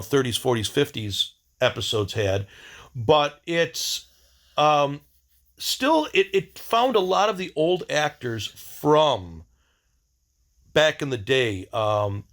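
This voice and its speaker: Halting, blunt man rambling casually. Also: medium pitch, fairly bright tone. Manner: halting, searching for words. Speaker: video diary host.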